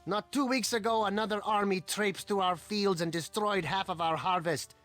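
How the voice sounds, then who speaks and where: An indignant farmer from a medieval game scolds in a high voice. Faint music sits behind his angry words. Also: fairly even intonation, moderate pace, a bit bright.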